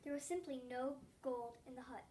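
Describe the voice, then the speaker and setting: A young schoolgirl narrates a storybook in a high, childlike voice. She reads with expression and now and then trips on a word. The microphone sits a little away from her. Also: moderate pace, recorded from a distance. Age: child, about eight or nine (3rd grader). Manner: expressive reading with occasional stumbles. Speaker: young student reading a storybook aloud. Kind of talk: read-aloud story narration.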